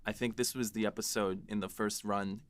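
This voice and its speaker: A man's voice: lowish voice, steady tone, fairly quick. A man co-hosting a podcast, chatting casually and enthusiastically and putting questions to his co-writer. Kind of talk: casual behind-the-scenes chat.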